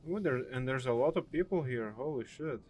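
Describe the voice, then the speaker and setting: A man's voice: medium pitch, animated, brisk, a little mellow. A man hosting a casual game playthrough, reacting offhandedly in short remarks. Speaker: gaming video host. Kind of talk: casual first-impression game playthrough commentary.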